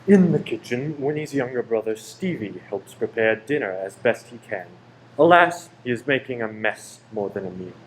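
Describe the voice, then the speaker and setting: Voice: medium pitch, lively intonation, moderate pace, slight background noise, low-quality recording. A narrator reading scripted narration for a radio play.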